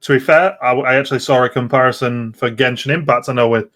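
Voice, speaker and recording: A man with a middle-pitched voice chats casually as a podcast co-host. He feels his way through sentences, repeating phrases as he makes his point. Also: steady tone, fast talker, fairly bright tone. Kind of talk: casual chat.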